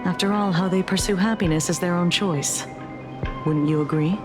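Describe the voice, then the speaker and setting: An actress playing a cool, pragmatic investigator in a video game, measured and dry. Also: female voice, lowish voice, natural voice. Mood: detached. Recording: music behind the voice.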